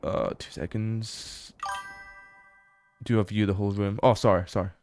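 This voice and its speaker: A young British man livestreaming as he explores a game. He chats casually, swears freely and bursts into excited exclamations. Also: fairly low pitch, moderate pace, faint music behind.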